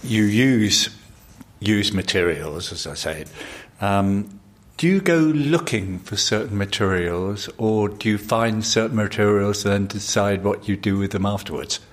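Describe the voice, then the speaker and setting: Low-voiced podcast host. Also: male voice. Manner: measured, polished presenter delivery. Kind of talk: scripted narration and interview.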